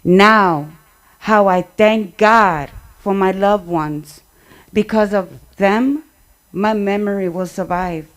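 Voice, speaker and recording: A woman speaking at a community memorial event, delivering remarks and poetry in short, measured phrases. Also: mid-range voice.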